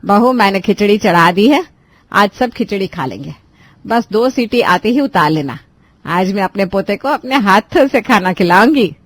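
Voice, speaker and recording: A woman's voice: mid-range voice, animated, moderate pace, dry recording, lightly acted. A woman playing a doting cartoon grandmother. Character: doting grandmother and mother-in-law. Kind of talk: Hindi moral-story cartoon acting.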